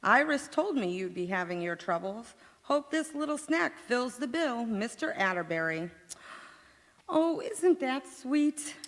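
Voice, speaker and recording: Woman acting a bright, chirpy radio-comedy housewife. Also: fairly high pitch, big pitch swings, a little slow, a little hiss or hum. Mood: gushing, grateful. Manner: theatrical, exclamatory. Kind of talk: old-time radio sitcom acting, live on stage. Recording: echoey hall, live stage performance.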